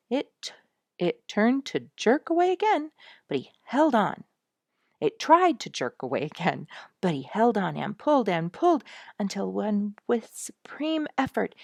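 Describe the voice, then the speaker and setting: A woman narrating a children's story in an expressive, animated storytelling style, acting out the key moments. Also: mid-range voice, vocal fry, no echo, studio quality. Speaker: audiobook narrator.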